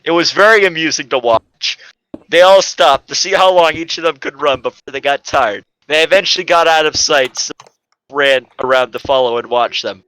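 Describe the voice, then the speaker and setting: A man with a slightly high, bright voice narrates a story. His delivery is informal and amateur, a dramatic reading with occasional stumbles over the text. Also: moderate pace, clean tone, poor audio.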